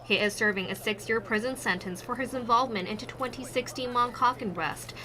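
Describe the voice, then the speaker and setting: A woman's voice: fairly high pitch, a little fast, a crowd in the background. A woman reporter delivering a scripted news report.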